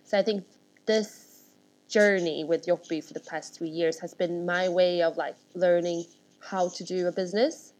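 A woman's voice: on the low side, steady tone, normal speed, darkish tone, poor audio. A woman from Sweden, a startup founder chatting as an interview guest, rambling with many restarts. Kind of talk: casual interview chat.

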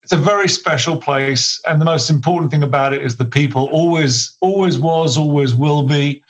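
A man's voice: on the high side, normal speed. A British man in his fifties, a business consultant guesting on a podcast over a video call, talking with wry, dry humor. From United Kingdom. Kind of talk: casual interview chat. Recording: dull lo-fi video call audio.

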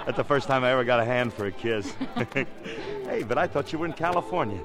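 An American actor playing a brash, doting entertainer husband in a 1950s radio drama, talking in breezy slang. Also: male voice, mid-range voice, lively intonation, quick pace, close to an everyday voice. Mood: delighted, affectionate. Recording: vintage radio broadcast with music behind.

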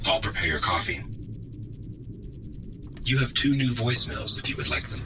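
A man's voice: medium pitch, expressive, normal speed, noisy background, lightly acted. A man playing a formal, courteous artificial intelligence that serves an undersea base in a science fiction audio drama. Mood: calm, dutiful.

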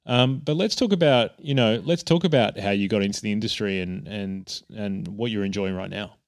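A man's voice: fairly low pitch, moderate pace, dry recording, clean studio sound. A man hosting a hospitality podcast, upbeat and casual, using lots of fillers. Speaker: podcast host. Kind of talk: podcast interview.

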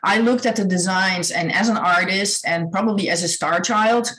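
A woman's voice: medium pitch, normal speed, a bit bright, low-quality recording. A woman who grew up in the Netherlands, a guest on an interview show, talking in an earnest, searching way. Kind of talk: casual interview chat.

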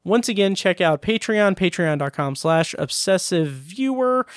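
A man's voice: high voice, lively intonation, unhurried, smooth, no echo. A man hosting a podcast in casual chat, talking loosely with fillers and laughs, recorded close on a dry mic. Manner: chatty, laughing, full of fillers. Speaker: podcast host.

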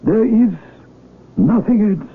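A kindly old village patriarch in a seventies radio play. His voice is high for a man, with solemn, halting, deliberate phrasing. It comes through thin and tinny over clear hiss. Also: animated, exaggerated voice. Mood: kindly, grave. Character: gentle, wise old village elder, blind, calm and patriarchal. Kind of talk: 1970s radio drama acting. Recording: tinny, noisy 1970s AM radio recording.

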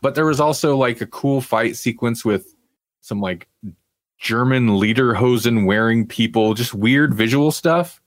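A man talks casually as a podcast co-host reviewing television. He rambles through opinions, often qualifying them with asides. His voice sits at a middling pitch and is recorded very dry, with little room sound. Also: a little slow, dry recording. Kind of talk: casual chat.

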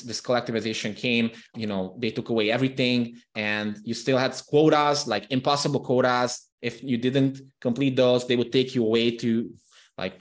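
A man from Transylvania, a native Hungarian speaker, talks as a guest in a relaxed interview. His pitch sits in a middle range, and he keeps restarting phrases and doubling words as he tells his stories. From Transylvania, Romania. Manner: restarts and repeats words, animated storytelling. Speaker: podcast guest, copywriter. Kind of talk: casual interview talk.

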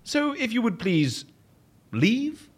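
An actor playing a flamboyant, easily bored, petulant interviewer in an audio comedy-drama, theatrical and fussily mock-polite. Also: male voice, extremely high pitch, big pitch swings, slow, husky, exaggerated voice. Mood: mock-apologetic. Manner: theatrical, fussy, mock-polite, stammering for show.

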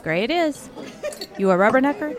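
A woman's voice: a little high, animated, fairly quick, some chatter behind, close to an everyday voice. A young woman playing a sardonic, chatty bartender in her twenties, with a wry, casual delivery in a scripted audio drama.

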